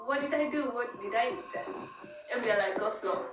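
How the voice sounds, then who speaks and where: A woman's voice: a little high, moderate pace, music in the background. A woman from Kenya, chatting to camera and acting out little exchanges in quoted voices. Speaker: YouTuber. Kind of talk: explaining to camera. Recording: echoey, distant, noisy clip played back.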